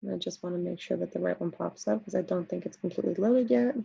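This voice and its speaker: A woman's voice: fairly low pitch, fast talker, gravelly, dark tone, husky, no room sound, muffled recording. A woman teacher talking casually through a screen-recorded tutorial, step by step, with many okays.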